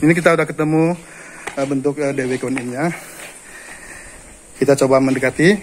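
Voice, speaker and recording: A man's voice: medium pitch, steady tone, brisk. A man talking casually to his viewers while walking and climbing through a cave, filming on a handheld phone. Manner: chatty, commenting while climbing. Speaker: vlogger. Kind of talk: casual travel vlog narration. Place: outdoors in a cave and on stairs. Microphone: handheld phone camera. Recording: outdoor recording with background noise.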